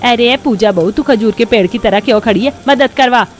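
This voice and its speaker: Woman acting a bossy, high-voiced mother-in-law. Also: moderate pace, slight background noise, lightly acted. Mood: scolding. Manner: scolding, commanding. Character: bossy, stingy middle-aged mother-in-law. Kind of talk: Hindi cartoon story acting.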